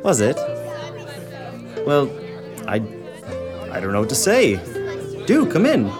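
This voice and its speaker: An actor playing a warm-hearted, astonished nephew in a radio drama, hesitant yet hearty. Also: male voice, medium pitch, huge pitch swings, slow pace. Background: crowd and music.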